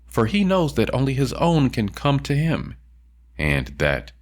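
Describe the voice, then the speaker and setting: A man reading a philosophical audiobook in a measured, emphatic way with deliberate pauses. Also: mid-range voice, huge pitch swings. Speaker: audiobook reader. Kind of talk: scripted audiobook narration of a philosophical text.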